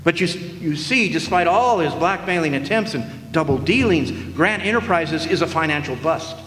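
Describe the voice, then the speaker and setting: A man's voice: fairly high pitch, animated, normal speed, a bit bright. A man playing a sardonic hardboiled private eye. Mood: wry. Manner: dry, sardonic noir narration. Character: wisecracking hardboiled male private eye narrator. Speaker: lead actor and narrator. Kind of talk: live stage radio play. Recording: echoey hall with faint noise.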